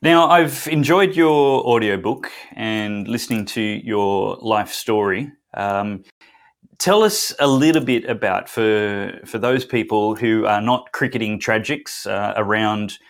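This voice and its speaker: A man hosting an interview show, reading a statement firmly and then asking questions with many hesitations. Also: on the low side. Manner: firm read-aloud delivery; halting filler-heavy questions. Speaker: host. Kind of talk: podcast interview.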